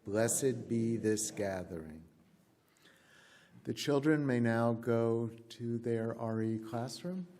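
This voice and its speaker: Slow, mellow man in a reverberant church. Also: medium pitch, a little hiss or hum. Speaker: worship associate. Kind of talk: leading congregational recitation. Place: church sanctuary at pulpit. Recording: long reverberant church hall.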